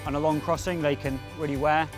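A man's voice: fairly high pitch, flat delivery, fast. Young man explaining sailing over music. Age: young adult. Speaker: sailing instructor and skipper. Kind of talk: instructional explanation to camera. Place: outdoors on a sailing yacht deck at sea.

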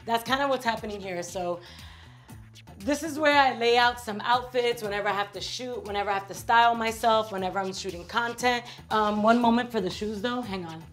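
A woman in her thirties from New York, giving a casual, playful house tour vlog in a bright home, recorded on a camera mic. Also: fairly high pitch, soft background music. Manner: chatty, playful, drawn-out asides. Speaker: vlog host.

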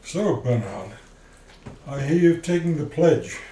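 A man's voice: a little high, expressive, measured pace. A man playing a genial, tempting vampire in an amateur radio play. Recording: distant echoey room, faint noise.

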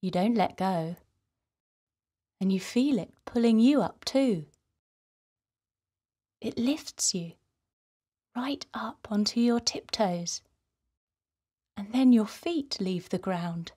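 A woman narrates a guided relaxation for children at a very unhurried pace. Her delivery is gentle and soothing, with a soft breathiness. She sits at a middle pitch and addresses the young listener directly. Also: lively intonation, breathy edge. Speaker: children's guided meditation host.

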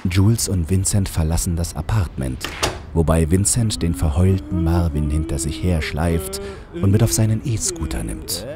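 A man's voice: sits low, animated, moderate pace, heavy background noise. A man narrating his own satirical audio play as a scripted storyteller.

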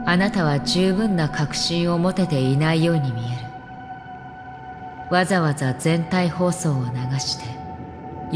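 Low-voiced, stoic, mysterious swordswoman. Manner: calm, measured, blunt. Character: stoic, solemn young swordswoman, cool and mysterious. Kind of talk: scripted anime game voice acting. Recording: long reverb under music. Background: background music.

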